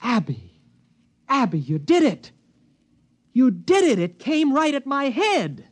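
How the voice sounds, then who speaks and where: A man's voice: very high-pitched, sing-song, slow pace, no echo, exaggerated voice. An actor playing a scheming, skeptical educated man in a radio drama, with an American accent and a stammering, insistent delivery. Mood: excited. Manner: stammering, insistent, swings from hushed to exclaiming. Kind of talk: 1950s radio drama acting. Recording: dry, dull vintage radio recording.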